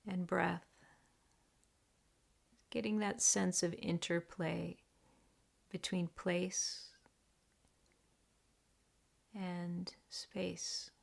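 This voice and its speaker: Very slow, creaky-voiced woman guiding meditation. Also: on the low side, flat delivery. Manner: deliberate, measured, with long pauses. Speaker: meditation teacher. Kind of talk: guided meditation.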